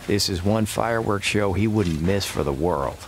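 An actor playing a courteous, laid-back cowboy gunslinger in a western video game, plainspoken and gruff. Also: male voice, low-pitched, unhurried, slight background noise. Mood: easygoing. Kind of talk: dramatic video game acting.

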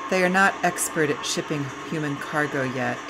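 A middle-aged woman reading a memoir excerpt aloud in a slow, reflective way. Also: sits low, background noise. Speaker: vlogger and author. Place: train compartment.

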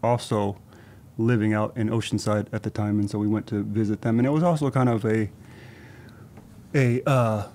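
A man in his forties, born in Thailand and raised in Southern California, telling his story as a podcast guest in a thoughtful, measured way. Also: fairly low pitch, fairly even intonation, darkish tone. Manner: measured, thoughtful, recalls details with small restarts. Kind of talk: relaxed conversational storytelling. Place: podcast studio with shelves and plants. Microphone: studio desk mic with headphones.

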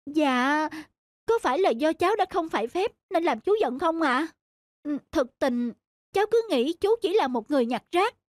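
A woman voicing a haughty, selfish young wife in an animated moral story, with expressive, emphatic delivery. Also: high voice, normal speed, dry recording. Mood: sheepish.

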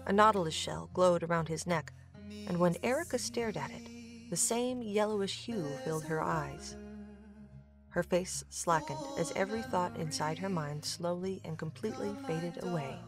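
Woman narrator for an audio drama, lowish voice, soft music behind. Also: moderate pace.

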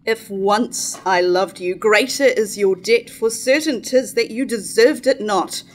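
A woman's voice: mid-range voice, unhurried, lightly acted. A woman in her thirties playing a proud, defiant wife coldly casting off her husband in a staged verse tragedy. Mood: cold defiance. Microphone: stand microphone at lectern.

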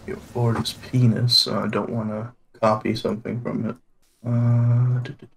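A man's voice: medium pitch, flat delivery, normal speed, gravelly, a little hiss or hum, rough recording. Sarcastic man from Denmark. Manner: sarcastic, self-mocking. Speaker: streamer, duo partner. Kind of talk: casual gaming chat.

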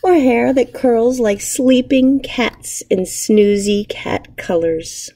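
A woman in her thirties, an elementary teacher reading a picture book aloud to children with measured, expressive storybook phrasing. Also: a little high, slightly rough. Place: indoors, empty school classroom.

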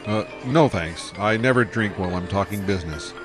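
A man's voice: lowish voice, a little fast, soft background music, close to an everyday voice. An actor playing an easygoing young male starship pilot who talks in a casual, laid-back way in an audio drama.

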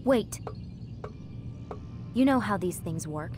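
A woman playing a calm, persuasive lab researcher in a video game, measured and firm as she reasons. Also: mid-range voice, over music. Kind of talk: video game cutscene acting.

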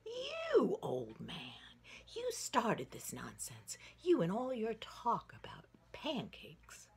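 A woman's voice: a little high, wildly swooping pitch, slow pace, vocal fry, husky, pitched above the performer's own voice, exaggerated voice. A woman voicing an impatient, bossy old lady in a storybook tale, giving emphatic orders. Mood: fuming. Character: fuming, impatient old lady demanding her pancakes.

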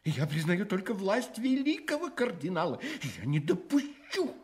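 An actor playing a fussy, stubborn, self-important husband in a radio drama, grumbling and pompous. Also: male voice, high voice, sing-song, normal speed, a little mellow. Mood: peevish, stubborn.

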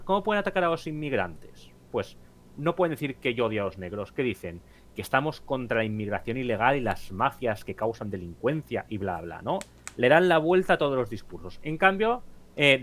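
A man's voice: mid-range voice, fairly bright tone. A young man from explaining politics emphatically on a video call, acting out quotes and rhetorical questions. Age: young adult. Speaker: debate panelist. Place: room at home, video call.

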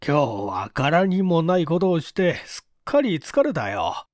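A man reads as a tired, brooding police chief in an audiobook mystery. He speaks very slowly and ponderously, in a fairly high male voice, recorded close and dry. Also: wildly swooping pitch, dry recording. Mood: tired, dismissive. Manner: slow, heavy, ponderous delivery. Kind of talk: audiobook character dialogue.

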